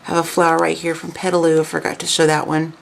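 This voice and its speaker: A woman hosting a craft video in a chatty, casual way with lots of ums, her voice raspy and hoarse from a weekend of talking. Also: low-pitched, monotone, fast, slight background noise. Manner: chatty, many ums and restarts. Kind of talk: casual craft show-and-tell.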